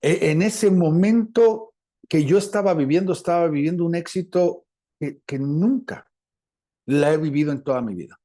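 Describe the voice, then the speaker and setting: Mellow Latin American male preacher. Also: a little high. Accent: Latin American Spanish. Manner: deliberate, repeats phrases for emphasis. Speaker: preacher, apostle. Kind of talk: sermon teaching.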